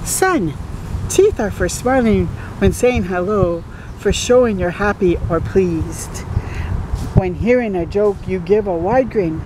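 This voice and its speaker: A woman voicing a patient, playful daddy monster, gently explaining things to his young son in storybook rhyme. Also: mid-range voice, lively intonation, slow, background noise, pitched below the performer's own voice. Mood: reassuring.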